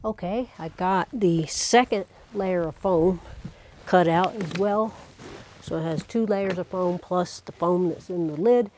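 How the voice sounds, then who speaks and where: A woman in her sixties talking to camera in a casual, unhurried, plain-spoken explanatory vlog style with dry humor. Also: on the low side, darkish tone, a little hiss or hum. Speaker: vlogger, amateur astronomer.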